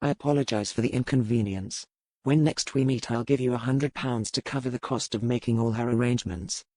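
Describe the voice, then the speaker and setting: A man's voice: mid-range voice, fairly even intonation, brisk, a little mellow, no echo, natural voice. A man playing a guarded, impatient ship's captain, terse and formal, in a historical romance audiobook. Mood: annoyed, formal. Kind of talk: dramatised audiobook dialogue.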